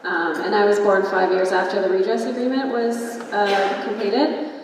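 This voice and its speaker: A woman in her twenties from Canada, speaking as a panelist in a thoughtful, measured way with frequent ums. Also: medium pitch, darkish tone. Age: 20s. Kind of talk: casual panel talk. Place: large conference hall. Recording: echoey hall from far off.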